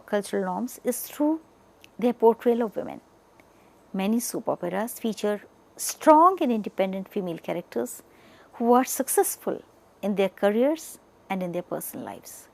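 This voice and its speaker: Woman, media lecturer, animated intonation, creaky edges, emphatic deliberate phrasing, educational delivery. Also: fairly high pitch. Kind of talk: educational lecture, partly read from notes.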